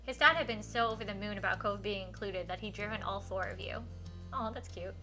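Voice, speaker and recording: A woman's voice: medium pitch, fairly quick, sharp tone, soft background music. A woman live streamer reading a visual novel aloud expressively and chatting casually, with enthusiastic, gushing reactions.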